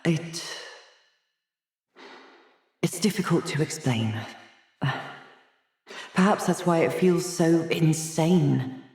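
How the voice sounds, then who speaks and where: A haunted, haughty asylum doctor, echoey. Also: male voice, on the high side, very slow, long pauses, a little mellow. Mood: weary, distracted. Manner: theatrical, erudite, fretful, with sighs and hesitations. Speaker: video game voice actor. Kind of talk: dramatic video game dialogue.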